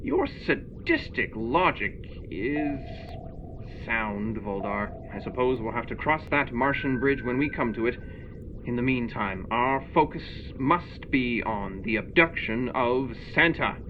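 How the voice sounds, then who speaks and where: An actor playing a stern Martian spaceship commander in a comic audio drama, formal, authoritative and dryly deadpan. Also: male voice, medium pitch, a little slow, lo-fi sound, noisy background, lightly acted. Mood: resolute.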